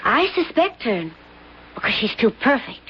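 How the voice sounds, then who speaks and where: A woman playing a suspicious, conspiratorial character in an old radio drama, intent and husky. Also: on the high side, very animated, measured pace, heavily acted. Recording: dull old radio recording.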